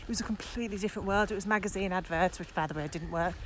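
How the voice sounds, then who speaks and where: A woman in her forties chats informally as a podcast co-host. Her pitch is average for a woman, with faint outdoor noise in the background. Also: very fast. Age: 40s. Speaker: podcast co-host, business owner. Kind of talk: casual conversational podcast chat. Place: outdoors at dusk.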